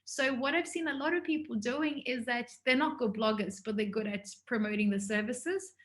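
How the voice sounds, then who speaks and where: High-voiced woman teaching a business workshop. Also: fairly even intonation, moderate pace, fairly bright tone. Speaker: entrepreneur giving a workshop. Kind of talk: informal business workshop talk to students.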